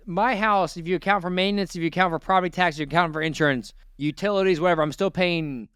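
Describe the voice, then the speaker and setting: A man hosting a money audit show, talking in a blunt, lecturing way. His voice is smooth and clear, sitting a little higher than most men's. The sound is dry and close to the mic. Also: steady tone, quick pace, fairly bright tone, no echo. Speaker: host. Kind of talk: financial audit interview.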